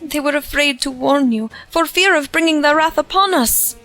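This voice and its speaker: A woman's voice: sits high, normal speed, pushed and bright, soft background music. A woman playing an earnest young woman in a period audio drama, giving solemn, plain-spoken warnings.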